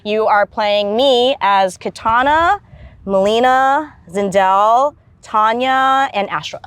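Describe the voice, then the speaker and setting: A woman's voice: on the high side, slow, clear, resonant tone, no room sound. A woman in her thirties whose first language is Vietnamese, a stunt performer and martial artist chatting in a casual interview, jokey and self-deprecating, with lots of fillers and laughs.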